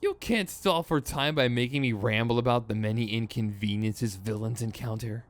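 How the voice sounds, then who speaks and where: A man's voice: medium pitch, moderate pace, lightly acted. A man playing a theatrical, sardonic supervillain in a scripted ASMR roleplay, exasperated and smug, with mock menace and a grumbling, rambling streak. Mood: menacing, resolute.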